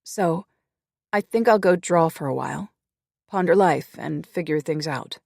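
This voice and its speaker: A narrator voicing a wry young woman artist, speaking lightly and a little high. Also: a little slow, creaky, a little mellow, husky, no echo, studio quality, exaggerated voice. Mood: awkward.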